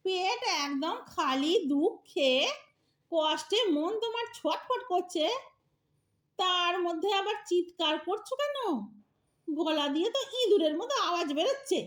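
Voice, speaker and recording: Woman as elderly wife, very high voice, blithe, teasing, mock-sweet, comic audio play. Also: lively intonation, unhurried, a bit bright, heavily acted. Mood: scolding, teasing.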